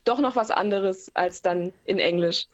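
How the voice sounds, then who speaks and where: Relaxed German woman musician chatting. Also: medium pitch, moderate pace, muffled recording. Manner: relaxed, thoughtful, many fillers. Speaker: singer-songwriter guest. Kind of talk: casual interview talk. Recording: remote call line.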